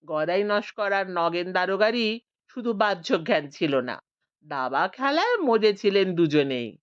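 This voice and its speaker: A woman's voice: medium pitch, sing-song, unhurried, no room sound, lo-fi sound. A woman narrating a scripted audiobook story, voicing the village characters expressively.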